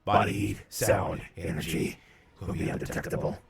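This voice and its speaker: Man putting on a very gravelly, gruff armored warrior. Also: sits low, steady tone, normal speed, noisy background. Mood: stern, curt. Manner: curt, commanding. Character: gruff armored male warrior, terse and commanding. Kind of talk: comic book voice acting. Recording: background music under the voice.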